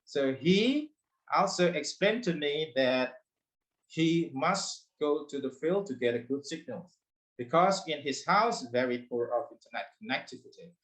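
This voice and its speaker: A man presenting research at an academic forum, pausing often with fillers and restarting his sentences. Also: fairly high pitch, animated, fairly bright tone, poor audio. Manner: hesitant, many fillers and restarts.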